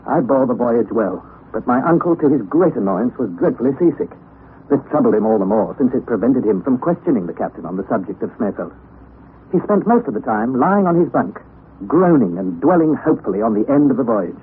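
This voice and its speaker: A man narrating a 1960s radio drama in a measured, expressive storytelling manner. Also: medium pitch. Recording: phone-like narrow 1960s radio broadcast with faint hiss.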